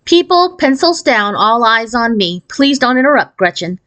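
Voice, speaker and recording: A woman voicing a brisk, beaming woman schoolteacher who instructs her class with cheerful authority in a storybook. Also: fairly high pitch, very animated, a bit bright, voice pushed up. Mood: firm.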